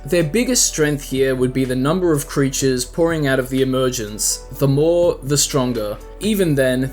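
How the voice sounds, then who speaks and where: A man's voice: medium pitch, a little mellow. A man hosting a video with casual scripted commentary, talking conversationally and putting rhetorical questions to the listener. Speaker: host and narrator of horror analysis videos.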